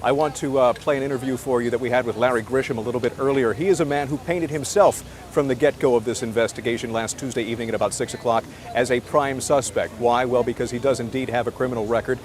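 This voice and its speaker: A man's voice: mid-range voice, fairly even intonation, quick pace, hardly any pauses. An American man anchoring live breaking news outdoors from a residential neighborhood. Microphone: handheld broadcast microphone. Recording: live outdoor TV audio with some noise.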